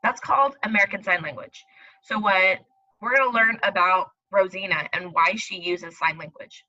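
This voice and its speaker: A woman's voice: medium pitch, normal speed, sharp tone, dull audio. A woman teacher leading a read-aloud lesson for young children, explaining step by step and speaking directly to them. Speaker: elementary school teacher.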